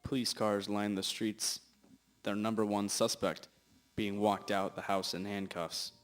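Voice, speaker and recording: A man's voice: on the low side, little pitch movement, a little slow. A young man hosting and narrating an amateur scripted radio drama. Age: teens or young adult. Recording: amateur audio with music behind. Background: guitar music.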